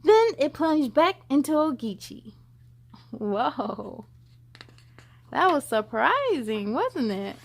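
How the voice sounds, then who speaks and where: Animated, high-pitched woman reading to children. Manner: animated, dramatic, addressing children directly. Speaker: children's story time host. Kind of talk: expressive storybook read-aloud and chat to young viewers.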